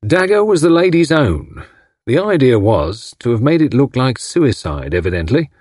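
A man voicing a solemn police inspector in an audiobook, speaking in a courteous, firm, measured and official way. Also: medium pitch, big pitch swings, darkish tone, no room sound, clean studio sound, pitched above the performer's own voice. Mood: matter-of-fact. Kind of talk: audiobook character acting.

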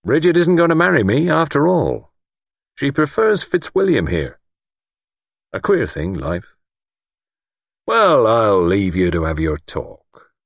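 A man voicing a pompous, grandiose lord in an audiobook, condescending and softly threatening, sure of his own higher power. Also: very animated, slow pace, gravelly, long pauses, no echo, clean studio sound, exaggerated voice. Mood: chuckling malice. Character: pompous, menacing lord convinced a higher power serves him. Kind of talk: audiobook character acting.

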